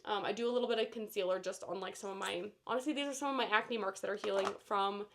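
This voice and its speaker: A woman's voice: mid-range voice, fast, background noise. A woman chatting casually to camera while doing her makeup. She sounds slightly nasal and stuffed up from a cold, and she rambles with lots of "like" and "you know.". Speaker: beauty vlogger.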